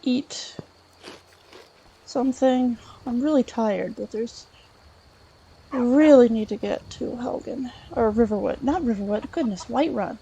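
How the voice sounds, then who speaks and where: A woman's voice: on the high side, unhurried, long pauses, dark tone, dull audio, slight background noise. A woman playing an earnest, polite, inquisitive scholar adventurer in a video game, chatting and musing aloud with some hesitation. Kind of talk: improvised in-character let's play monologue.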